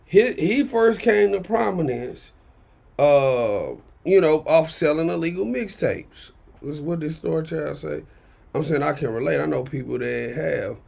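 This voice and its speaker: A man hosting his own commentary show, his raspy baritone carrying a gravelly edge and sitting slightly higher than most. He talks bluntly and profanely, circling back and punctuating points with "okay?" as he rants to his viewers. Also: unhurried, darkish tone. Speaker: commentary channel host. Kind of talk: casual commentary rant.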